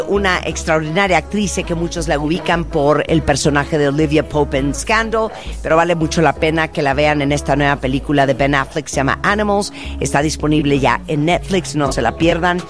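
A woman hosting a radio interview in a chatty, upbeat way. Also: sits low. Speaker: radio host. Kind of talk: radio interview and show links.

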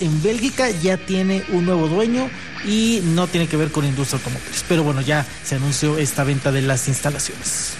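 A man with a Mexican accent giving semi-spontaneous news headlines as a radio contributor. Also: high-pitched. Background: music bed.

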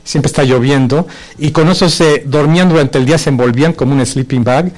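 A man's voice: a little high, a little fast, darkish tone. A man, raised partly in the United States, explaining things informally as an interview guest. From born in Mexico, grew up in the United States. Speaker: interview guest, pipe band member.

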